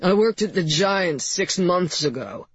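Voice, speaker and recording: A woman's voice: on the low side, lively intonation, unhurried, slightly rough, dry recording, dull audio. A woman voicing a sullen, scruffy man in an audiobook, lazy and defensive, giving terse, grudging answers.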